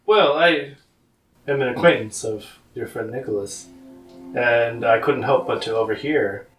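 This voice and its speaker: A man's voice: medium pitch, a little slow, fairly bright tone, echoey room, distant mic, faint music behind, close to an everyday voice, poor audio. A man voicing a mysterious stranger in a tabletop game, speaking politely and formally with a wry edge. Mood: courteous.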